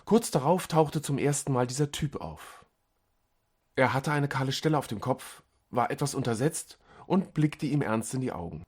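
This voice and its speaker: Expressive man narrating an audiobook. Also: mid-range voice, normal speed, husky. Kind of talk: scripted short-story narration.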